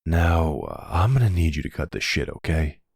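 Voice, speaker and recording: A man playing a suave, menacing middle-aged mafia boss in an intimate ASMR roleplay, gravelly and smooth-talking, with folksy tough-guy slang and courteous, flirtatious endearments. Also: rumbling low, sing-song, moderate pace, darkish tone, no echo, studio quality, exaggerated voice. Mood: menacing, probing.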